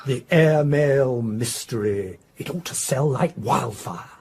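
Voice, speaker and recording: An actor playing an excitable, scatterbrained mystery writer with an English accent, effusive and rambling, in a radio drama. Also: male voice, mid-range voice, slow pace, vocal fry, slight background noise. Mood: thrilled, gushing.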